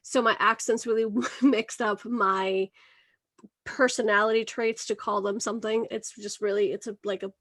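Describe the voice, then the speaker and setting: A woman's voice: mid-range voice, flat delivery, normal speed, a bit bright, no room sound, studio quality. A woman in her thirties from Venezuela, hosting a podcast interview in a bubbly, chatty way and laughing often between phrases. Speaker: podcast host. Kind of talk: casual interview chat.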